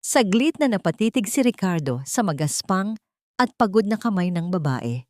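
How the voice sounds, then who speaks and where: A woman's voice: mid-range voice, very animated, normal speed, a little mellow, no echo, clean studio sound. A woman reading dramatic scripted narration for an audio drama story.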